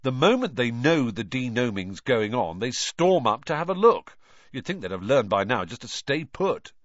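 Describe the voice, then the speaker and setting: A man's voice: mid-range voice, very animated, normal speed, no echo, muffled recording. A man voicing a confident, wry teenage boy. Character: cocky teenage boy, mischievous twin.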